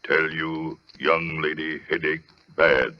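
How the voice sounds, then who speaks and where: A man's voice: a bass voice, level tone, slow. An actor playing a hulking, simple-minded, obedient henchman who speaks in broken, childlike phrases in an old radio thriller. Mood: dull menace. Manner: broken, childlike third-person speech. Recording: muffled old radio broadcast, music behind.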